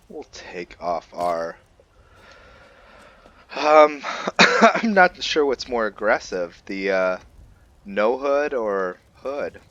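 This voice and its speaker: A man with a fairly deep voice talks through his game at a very unhurried pace. He is laid-back and casual, musing aloud as a let's-play host does. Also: fairly bright tone, highs cut off. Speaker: host of a video game roleplay series.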